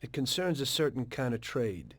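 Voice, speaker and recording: An actor playing a guarded, businesslike mobster with an American accent, speaking in a measured, discreet way in a game cutscene. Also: male voice, medium pitch, sing-song, fairly bright tone, no echo, clean studio sound. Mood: confiding, businesslike. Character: adult male mobster, guarded, politely businesslike, confiding. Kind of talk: dramatic dialogue in a video game cutscene.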